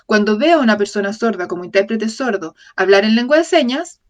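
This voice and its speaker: A woman voicing a lecture for an interpreter, speaking in a measured way, often correcting herself mid-phrase and checking in with the audience. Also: mid-range voice, lively intonation. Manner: measured, with frequent self-corrections and tag questions to the audience. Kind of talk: voiced-over lecture in an open talk series.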